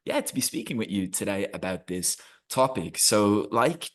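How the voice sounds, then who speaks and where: An Irish man in his thirties giving an energetic, encouraging webinar presentation over a video call. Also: lowish voice, moderate pace. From Ireland. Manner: energetic, encouraging, with frequent restarts.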